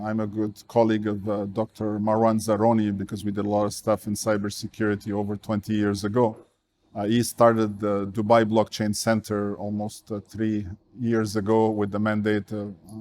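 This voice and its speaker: A man from Portugal speaks as an interview guest in a low voice. His pitch barely moves, and he pauses often with "uh" as he works through his answers. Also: warm tone. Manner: measured, with frequent "uh" fillers. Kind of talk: casual interview talk.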